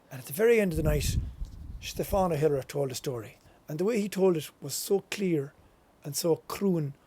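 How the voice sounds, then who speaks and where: A man's voice: a little high, mellow. An Irish man, a storyteller, giving an informal spoken presentation on local heritage.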